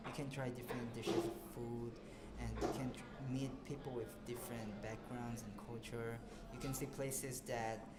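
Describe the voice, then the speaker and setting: A young man in his twenties from Brazil, a student answering interview questions in a relaxed, hesitant way, often pausing to search for words. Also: medium pitch, over loud music. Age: 20s. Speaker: student guest.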